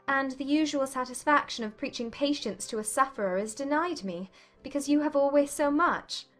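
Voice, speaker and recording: A woman voicing a sympathetic, thoughtful young sister in a dramatized audiobook, gentle and measured. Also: high voice, soft background music, voice pushed up.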